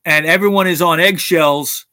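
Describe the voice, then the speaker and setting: Man, blunt and profane, bright forceful tone, dry close sound. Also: on the high side, steady tone, moderate pace, no echo. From United States. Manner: blunt, swearing, many restarts. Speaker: podcast host. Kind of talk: opinionated casual talk.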